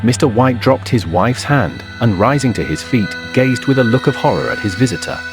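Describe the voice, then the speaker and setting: Male narrator, literary suspense story, medium pitch, lively intonation, background music. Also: moderate pace.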